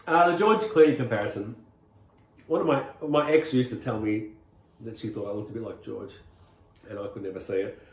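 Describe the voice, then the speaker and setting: Middle-aged Aussie male guest, rambling and relaxed storyteller, echoey room. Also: on the low side, normal speed, long pauses. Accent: Australian. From Australia. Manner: rambling, relaxed, many "uh"s and restarts. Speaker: rockabilly singer, guest. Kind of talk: casual interview storytelling.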